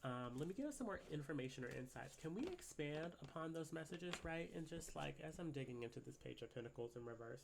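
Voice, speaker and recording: A man with a stuffy, congested voice talks through a tarot reading, rambling and tagging "right?" onto his thoughts. His tone sits a little high with a gravelly edge. A faint hiss runs under the recording. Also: expressive, fast talker, hardly any pauses. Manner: rambling, filler-heavy, keeps asking "right?". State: congested from a cold. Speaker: tarot reader. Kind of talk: casual spiritual reading to camera. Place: at a desk indoors.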